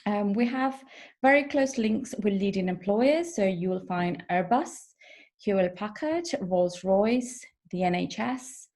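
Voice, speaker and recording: A woman from Peru, an international officer, giving a measured online information session over a video call with frequent fillers. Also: medium pitch, expressive, a little mellow. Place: home or office in the evening.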